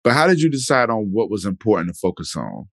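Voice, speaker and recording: A low-pitched man hosts a podcast chat in a loose, easygoing way, circling back and restarting his sentences often. The sound is dry and close, with no room around it. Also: fast talker, gravelly, no room sound. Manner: relaxed, rambling, many fillers and restarts. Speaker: podcast host. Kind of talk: casual interview chat.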